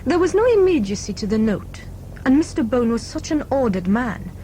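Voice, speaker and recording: A woman's voice: on the high side, very animated, normal speed, dark tone, slight background noise. A woman playing a cool, determined doctor in an audio drama, formal and precise in manner. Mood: cool, cryptic.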